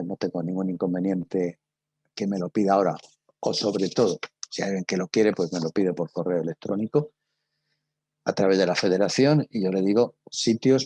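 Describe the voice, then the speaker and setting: Older man, Castilian Spanish accent, low-pitched, dry close sound, conversational lecturer, rambling anecdotes. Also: dark tone, breathy edge, no echo. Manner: conversational, digressive, addresses listeners directly. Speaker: lecturer, radio drama teacher.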